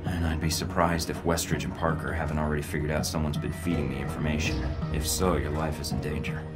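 An actor with an American accent voicing a cocky, wry young secret agent in a video game, dryly self-assured. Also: male voice, rumbling low, level tone, fast talker, over loud music. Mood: determined. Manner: dry, sardonic, self-assured. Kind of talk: video game cutscene dialogue.

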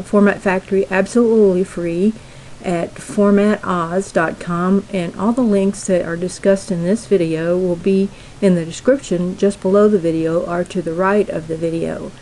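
Unhurried woman walking through software steps. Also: on the low side, slight background noise, low-quality recording. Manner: unhurried, plain step-by-step explaining with many fillers. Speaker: tutorial host. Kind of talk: screen-recorded software tutorial.

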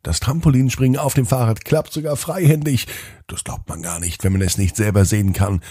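A man's voice: mid-range voice, fairly quick, dark tone, slightly rough, no room sound, studio quality. A man hosting a children's podcast and narrating a scripted bedtime story, speaking expressively and directly to young children.

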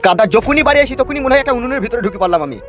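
A man's voice: very high-pitched, animated, racing. A man voicing an exasperated, blustering, foul-mouthed fellow in a comedy cartoon, complaining and swearing. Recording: muffled audio with faint music.

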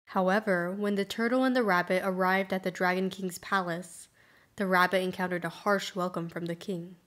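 A woman narrating a scripted folktale as storyteller, in measured, clearly phrased sentences. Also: on the low side, fairly even intonation, a bit bright.

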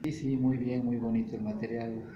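A sculptor from Ecuador giving polite, calm answers in an interview. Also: male voice, mid-range voice, normal speed, echoey room, dull audio.

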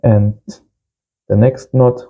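A man's voice: fairly low pitch, little pitch movement, slow, highs cut off. A man in his thirties giving a casual hands-on tutorial as host, in simple short phrases. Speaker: host of amateur radio channel. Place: small indoor home workshop.

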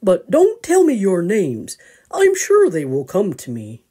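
A woman voicing a mild, absent-minded father bear in a storybook, hesitant and deliberate. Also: medium pitch, huge pitch swings, dark tone, husky, exaggerated voice. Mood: polite, stubborn.

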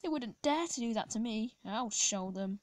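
A hoarse woman reading the lines of a boastful big male steam engine aloud from a storybook. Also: on the high side, animated, moderate pace, no room sound, lightly acted. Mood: boasting. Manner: loud, boasting.

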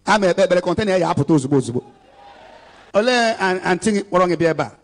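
High-voiced Nigerian man preaching on stage. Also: expressive, racing. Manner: switching between Igbo and English, emphatic and exhorting. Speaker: evangelist. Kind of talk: preaching at an outdoor church rally. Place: outdoor stage before a large crowd. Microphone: handheld microphone.

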